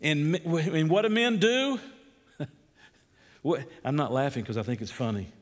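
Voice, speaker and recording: A middle-aged man with a Georgia accent preaching an expository sermon, rising to emphatic peaks and dropping low and slow when he reads scripture. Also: animated, long reverb tail. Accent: Southern US (Georgia).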